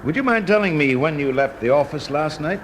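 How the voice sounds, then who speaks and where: An actor with a British accent playing a confident, shrewd fire investigator in a radio drama, brisk, urbane and teasing. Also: male voice, on the high side, animated, lightly acted. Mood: probing. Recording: noisy classic radio drama recording.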